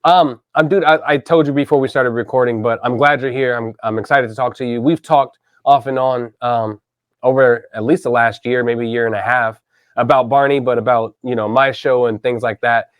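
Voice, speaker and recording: A man's voice: medium pitch, steady tone, moderate pace, no echo. Male podcast host, 30s, chatty and enthusiastic, dry close sound. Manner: enthusiastic, says dude and man a lot. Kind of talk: casual interview chat.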